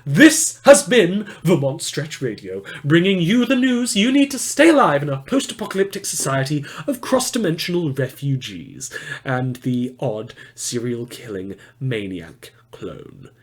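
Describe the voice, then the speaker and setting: Give this man acting as a post-apocalyptic radio newsreader a slightly high voice. He delivers a scripted bulletin in a mock-formal way, with wry, snide asides slipped into the official tone. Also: very animated, unhurried.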